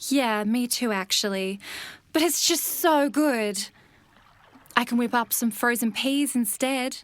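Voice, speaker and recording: Actress as caring female bird, fairly high voice, gentle, reassuring, natural dialogue, close dry sound, audio drama. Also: slow pace, no room sound. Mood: encouraging. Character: caring female bird, supportive partner of a swan.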